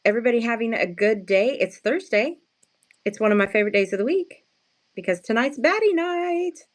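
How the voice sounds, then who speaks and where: Slightly hoarse woman, casual live-sale chat. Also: a little high, lively intonation, fairly quick. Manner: self-deprecating, playful slangy wording; chatty, greets and thanks viewers often. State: just woken up, sleepy.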